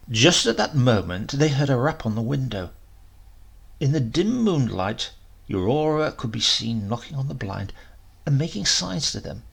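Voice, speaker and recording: A man narrating a short story as a dramatic audiobook reading, with deliberate phrasing and emphasis. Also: medium pitch, creaky, slightly rough.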